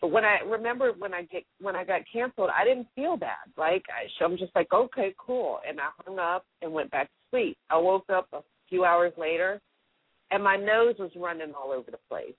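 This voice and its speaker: A woman with a deep voice, sick with a cold, chatting casually and giggling as co-host. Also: lively intonation, a little fast, slightly rough, no echo. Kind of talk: casual chat. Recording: tinny phone line.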